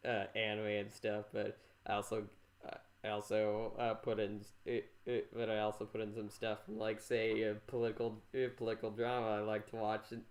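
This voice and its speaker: An American man from Minnesota hosting a casual podcast interview, rambling and halting as he repeats himself. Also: on the low side. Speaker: podcast host.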